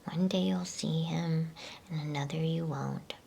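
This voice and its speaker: Woman as knowing male storybook beaver: whispered, reassuring, unhurried, creaky, slight noise. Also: low-pitched, steady tone, exaggerated voice. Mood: gentle reassurance. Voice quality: breathy whisper. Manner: reassuring, gently cautioning.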